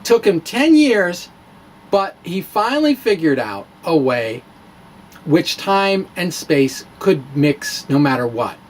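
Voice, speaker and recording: Animated man with sweeping pitch, noisy recording. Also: slow. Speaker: science vlogger presenting his own blog. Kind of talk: dramatic reading mixed with casual show-and-tell.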